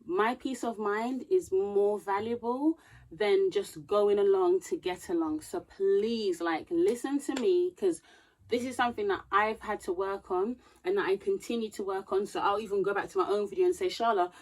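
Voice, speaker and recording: Woman, thirties, mellow, earnest preachy advice, video blog talk. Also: medium pitch, moderate pace, low-quality recording. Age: 30s. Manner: earnest, preachy, turns animated and emphatic; reading from notes. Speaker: vlogger. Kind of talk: casual advice talk to camera.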